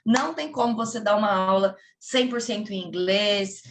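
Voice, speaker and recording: A woman who teaches English, talking casually to fellow teachers in a training session, chatty and animated, acting out classroom chants. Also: mid-range voice, normal speed. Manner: chatty, lively, quotes children and classroom chants. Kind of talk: casual explanatory talk to colleagues.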